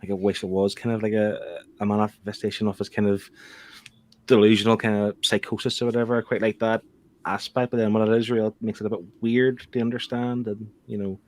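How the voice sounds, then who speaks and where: A man's voice: on the low side, little pitch movement, fairly quick, a little mellow. A man on a book club panel giving a casual review, tentative and thinking aloud as he goes.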